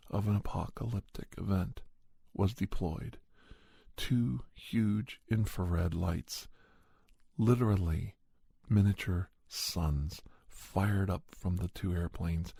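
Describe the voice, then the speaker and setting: Deep, gravelly, breathy man telling horror stories. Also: dark tone, no echo. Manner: dramatic, deliberate, with short broken phrases and pauses. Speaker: storyteller and podcast host. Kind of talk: scripted horror story narration in ASMR style.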